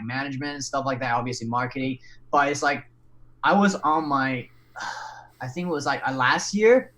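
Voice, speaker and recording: A man, an English speaker, telling his story as a podcast guest in an animated, candid way with frequent restarts and casual swearing. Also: a little high. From Taiwan.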